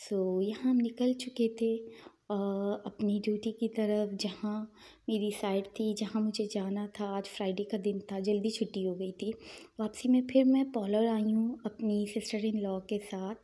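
A young woman narrating her day in a chatty, unhurried voice-over, with small fillers and pious asides. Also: medium pitch, fairly even intonation, warm tone. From Pakistan. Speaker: vlogger.

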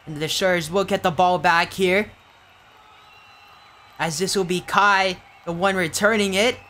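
A tired young man doing casual, rambling play-by-play commentary for an online game league, getting excited on big plays. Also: high voice, a little slow.

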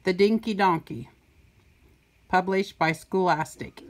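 An older woman reading a children's picture book aloud in a playful, sing-song way with deliberate emphasis. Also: fairly low pitch. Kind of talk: storybook read-aloud.

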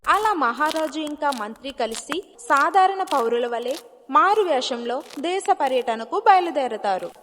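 A woman's voice: high voice, expressive, unhurried. A woman giving scripted narration of a moral story as its narrator. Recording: long hall-like reverb, music behind.

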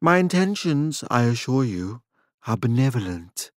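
A man voicing a calm, quietly menacing leader with formal, measured phrasing in an audiobook. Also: medium pitch, no echo, studio quality. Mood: calm, assured.

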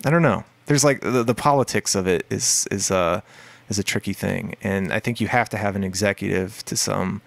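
A man with a deep voice, a musician guest in a casual podcast interview, talking in a rambling way with many fillers and restarts. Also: normal speed, gravelly, no echo. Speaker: guest musician, singer and guitarist.

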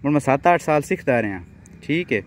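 A man's voice: a little high, animated, a little fast. A man with a Punjabi accent chatting casually and commenting as a village vlogger, recorded outdoors. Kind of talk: casual chat and field commentary. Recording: outdoor field recording, faint background noise.

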